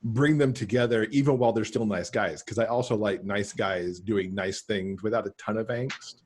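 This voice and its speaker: A middle-aged man, an author being interviewed on a casual podcast over a video call, explaining in measured short phrases. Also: on the low side, slightly rough.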